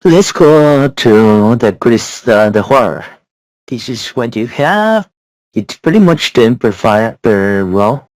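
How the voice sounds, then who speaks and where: A man giving a casual, blunt spoken software review. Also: medium pitch, dry recording, low-quality recording. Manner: blunt, repetitive criticism.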